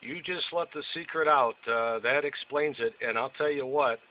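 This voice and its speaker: A man's voice: mid-range voice, moderate pace. A man chatting casually over two-way radio as an operator. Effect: two-way radio transmission. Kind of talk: casual radio contact chat. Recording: noisy, muffled radio audio.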